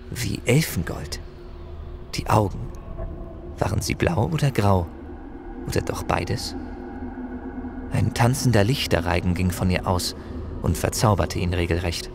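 A man narrating a fantasy audio drama in a solemn, measured, expressive storytelling manner. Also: low voice, gravelly, background noise. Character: solemn male narrator of a barbarian saga.

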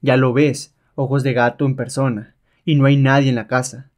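A man's voice: mid-range voice, little pitch movement, normal speed, darkish tone, lightly acted. A man playing a sly, rebellious male adventurer held as a slave, mocking and self-assured, in a comic-book reading. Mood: gloating, threatening.

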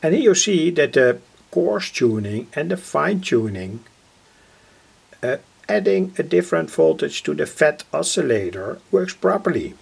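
Gravelly, slow-talking older man. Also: on the high side, very animated. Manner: halting, hesitant phrasing with repeated filler words. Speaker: radio hobbyist presenter. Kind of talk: unscripted workbench demonstration. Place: indoor home electronics workbench.

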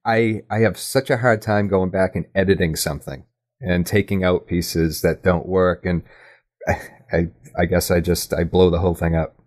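Male podcast guest, low-pitched, thoughtful stop-and-start talker in a casual interview. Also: fairly even intonation, normal speed, darkish tone. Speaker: podcast guest, audio drama writer and producer.

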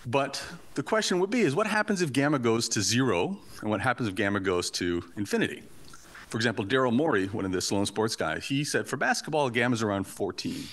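A Canadian-raised man whose first language is Portuguese, giving a lively, joking academic talk in a lecture hall. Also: medium pitch, a little fast. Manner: lively, chatty, joking asides. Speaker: invited conference speaker.

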